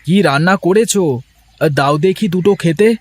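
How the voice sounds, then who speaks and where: A man voicing a weary, put-upon fisherman husband who sighs often, with a husky voice. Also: high-pitched, brisk. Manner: sighing, resigned. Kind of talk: Bengali cartoon voice acting.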